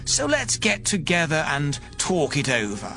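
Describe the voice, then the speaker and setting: A sly political schemer in an audio Bible drama. His voice sits high and swings widely in melody, insinuating and theatrical, with music underneath. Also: huge pitch swings, unhurried, pushed and bright, heavily acted. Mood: sly, accusing. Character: scheming male enemy governor reading a threatening letter, sly and insinuating.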